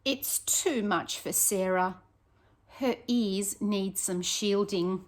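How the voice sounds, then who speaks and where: Slow-paced woman reading to children. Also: mid-range voice, a little hiss or hum. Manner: expressive, encouraging, addresses young children directly with questions. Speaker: primary school teacher reading to pupils. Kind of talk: picture book read-aloud with chatty asides to children.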